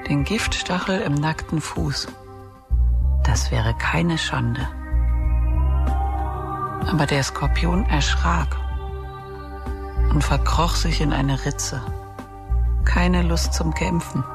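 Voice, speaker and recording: A suspicious, brooding wife on holiday in a radio play. Her voice sits fairly low and presses insistently, with music clearly audible underneath. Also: slow, long pauses, exaggerated voice. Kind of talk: radio drama acting, narration and dialogue.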